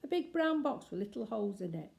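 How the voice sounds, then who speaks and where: A woman's voice: mid-range voice, big pitch swings, fast talker, creaky, darkish tone, pitched above the performer's own voice. A woman voicing a friendly, earnest male burglar in a children's storybook, with colloquial working-class speech. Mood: excited, surprised.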